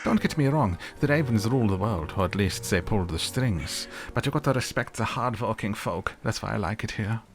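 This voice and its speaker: A man's voice: fairly low pitch, expressive, brisk, few pauses, faint music behind. A man voicing a cocky, world-wise working crow villager in a video game, with folksy, colloquial speech.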